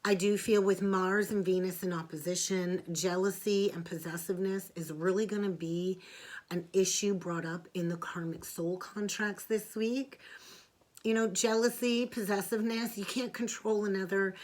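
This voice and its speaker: A woman's voice: medium pitch, fairly even intonation, normal speed, darkish tone. A middle-aged woman giving a weekly tarot reading, blunt and frank, with some salty language. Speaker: tarot reader. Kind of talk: spiritual forecast monologue.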